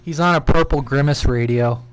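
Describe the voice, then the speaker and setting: A man's voice: medium pitch, moderate pace, very noisy. A man hosting a casual live stream, chatting and telling stories in a jokey, rambling way. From United States. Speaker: CB radio live stream host. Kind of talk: casual chat.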